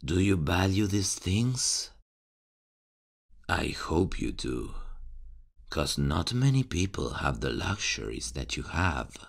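A man playing a whispering raspy serial killer. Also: sits low, sing-song, full character voice. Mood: menacingly soft. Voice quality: hushed, raspy and menacing. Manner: taunting, slow, calls the listener dear. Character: creepy soft-spoken male serial killer. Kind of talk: ASMR horror roleplay.

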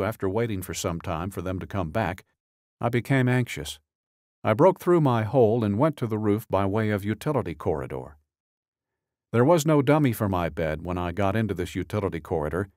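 Low-voiced man narrating an audiobook. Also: darkish tone, no echo, studio quality. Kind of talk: scripted narration, reading a true-crime statement.

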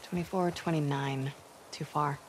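An actress playing a tough, determined young survivor in a video game, speaking in a terse, low-key, natural way. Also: female voice, steady tone, measured pace, slight background noise, low-quality recording. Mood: watchful, matter-of-fact. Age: young adult.

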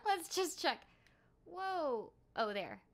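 High-pitched, animated young woman streaming a game. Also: takes it slowly, slight background noise. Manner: excitable, giggly, repeats phrases. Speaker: streamer and voice actress. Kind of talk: casual live gaming stream chat. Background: game music.

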